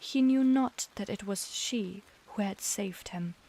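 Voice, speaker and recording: A woman streamer reads a bedtime tale slowly and unhurriedly, her accent leaning toward British English. Her delivery is soft and often drops to a gentle half-whisper. Her voice sits at an ordinary middle pitch. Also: slight background noise, poor audio. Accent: British-leaning English learned as a second language. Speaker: streamer reading stories aloud. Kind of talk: slow bedtime storybook reading.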